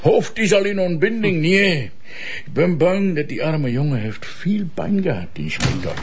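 A man's voice: a little high, very animated, normal speed, a crowd in the background. An actor playing a Polish male coroner with a Polish accent who speaks broken Flemish with blunt cheerfulness about corpses. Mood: matter-of-fact. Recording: dull lo-fi radio play.

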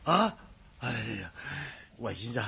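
A man's voice: mid-range voice, big pitch swings, slow pace, full character voice. An actor playing a frightened, superstitious old man with a Hong Kong Cantonese accent in a radio drama, agitated and given to exclaiming and sighing. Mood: shaken, relieved. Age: older. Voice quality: creaky, gravelly.

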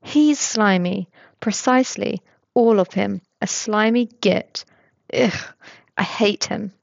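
A woman voicing a sour, deadpan young man. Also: mid-range voice, slow, gravelly, no room sound, highs cut off. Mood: dry, irritated. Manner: flat monotone, biting. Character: irritable dry-toned young man.

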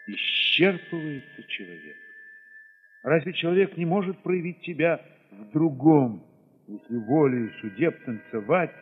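A man with a husky voice reads a literary first-person story theatrically, moving between hushed passages and sudden swells. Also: mid-range voice. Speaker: narrator reading an audio play. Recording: reverberant, slightly dull sound.

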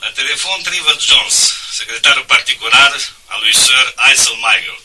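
An actor playing a polite, persuasive private secretary telephoning in a radio drama. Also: male voice, mid-range voice, expressive, bright, forceful tone, slightly rough, far from the mic, heavily acted, rough recording. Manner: polite, formal, suave. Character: polished, persuasive male private secretary to a magnate.

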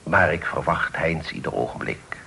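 An actor playing a stern but fair middle-aged father in a period radio drama, speaking formally and in measured, admonishing phrases. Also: rumbling low, gravelly, bright, forceful tone, full character voice. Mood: firm, cautioning. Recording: noisy old radio play recording.

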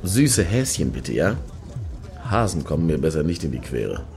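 An actor playing a crude, grumbling, pedantic clubgoer in a satirical radio play, blunt and opinionated. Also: male voice, a bass voice, very animated, normal speed, music in the background, heavily acted.

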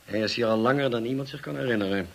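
An actor playing a brisk, irritable upper-class husband, master of a manor, in a radio play, with a clipped, urbane manner. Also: male voice, mid-range voice, vocal fry, a little hiss or hum, lightly acted. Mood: genial, brisk.

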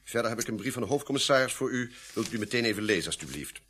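A man's voice: mid-range voice, animated, very fast, a bit bright, a little hiss or hum, natural voice. An actor playing an officious, commanding male police inspector in a radio drama, formal and pompous in manner. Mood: officious, stern. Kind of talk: Dutch radio drama acting.

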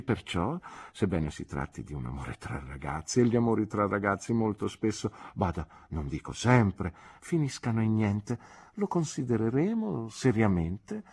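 A man voicing a shrewd, gently scolding elderly aunt in an audiobook, with clipped, knowing remarks. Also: sits low, breathy edge, heavily acted. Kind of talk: audiobook character voice.

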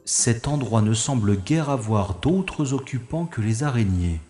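A man playing a slow, wry secret agent. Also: medium pitch, animated, music in the background, lightly acted. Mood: wary. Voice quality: dry, ironic inner monologue. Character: wry male secret agent sneaking through a manor. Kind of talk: audio drama first-person narration. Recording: echoey room with long reverb.

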